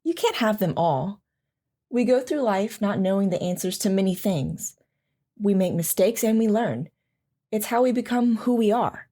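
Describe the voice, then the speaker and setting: Woman acting a thoughtful, mid-pitched radio guest. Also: lively intonation, moderate pace, mellow, natural voice. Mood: reflective. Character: thoughtful, philosophical mother interviewed on radio. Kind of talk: interview in audio drama.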